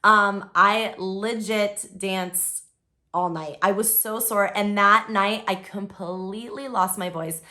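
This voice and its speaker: A young woman talks straight to camera at home in a relaxed, rambling way, peppering everything with "like" and "um". Her voice is bright and clear, and she has only recently got it back after losing it. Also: mid-range voice, slow pace. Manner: chatty, lots of "like" and "um". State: voice recently lost, recovering. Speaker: vlogger. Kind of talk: casual vlog recap.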